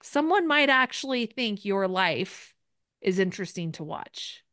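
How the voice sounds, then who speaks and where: A woman's voice: medium pitch, big pitch swings, unhurried, a bit bright, smooth, dry recording, clean studio sound. A woman coaching people in a live group session, speaking in a direct and encouraging way. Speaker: business coach.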